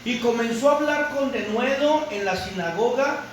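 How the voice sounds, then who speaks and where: A man's voice: very high voice, animated, normal speed. A man preaching a sermon as a pastor, emphatic and repetitive, punctuating his points with amens and rhetorical questions. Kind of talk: sermon, expository preaching with Bible readings. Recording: very echoey room, distant mic, background noise.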